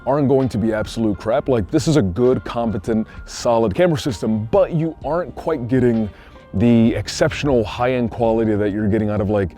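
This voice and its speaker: A man's voice: medium pitch, brisk, dark tone, soft background music. A man giving a casual, conversational product review as a tech reviewer, often dropping "like" into his sentences. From Puerto Rican. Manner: conversational, frequent "like" asides.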